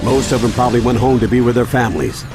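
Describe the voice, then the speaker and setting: A steady older American grandfather , played by a man, leads his young team in an animated video game. He is serious, protective and used to giving orders. Music plays behind his voice. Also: medium pitch, fast, natural voice. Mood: urgent. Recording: background music under the voice.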